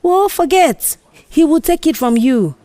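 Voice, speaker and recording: A woman performs a bold young Nigerian office woman in an audio drama. She is mischievous and sure of herself, coaxing and brushing off objections in an animated, unhurried way. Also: sits high, dry recording, voice pushed up. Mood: confident, brash. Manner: animated, dismissive, persuasive. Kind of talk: audio drama character dialogue.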